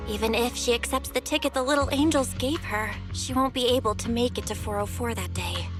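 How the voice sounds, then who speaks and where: A woman voicing a friendly, earnest young female video store manager in an anime-style game, speaking expressively and conversationally. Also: sits high. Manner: expressive, animated, conversational delivery. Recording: background music under the voice.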